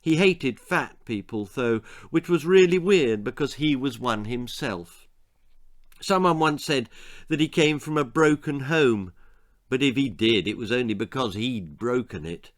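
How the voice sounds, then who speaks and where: A British man narrates a children's story at a medium pitch. He reads as its author would, dramatically, setting off key phrases with pointed pauses. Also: expressive. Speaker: author reading his own story.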